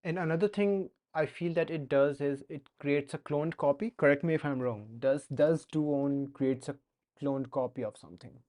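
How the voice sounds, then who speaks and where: Man, Indian accent, young adult, live-stream coding chat, hesitant, lots of fillers, dark tone. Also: on the high side, moderate pace. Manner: hesitant, many fillers and restarts. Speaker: streamer learning to code.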